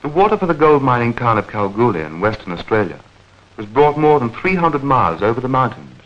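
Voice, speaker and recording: A man narrating a 1940s educational newsreel in a measured, clearly enunciated, explanatory manner. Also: mid-range voice, big pitch swings. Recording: dull vintage 1940s film soundtrack.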